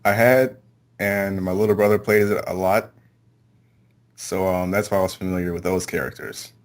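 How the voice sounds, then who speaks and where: A man's voice: low-pitched, steady tone, normal speed. A man being interviewed as a voice actor, giving brief, easygoing answers in a casual chat.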